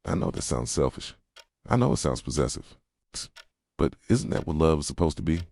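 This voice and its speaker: A man playing a jealous, possessive yandere boyfriend in an intimate ASMR roleplay, speaking softly and tenderly and slipping into whispers. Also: big pitch swings, moderate pace, creaky, heavily acted. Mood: possessive, brooding. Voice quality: soft, low, slipping into whispers. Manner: tender, brooding, confessional.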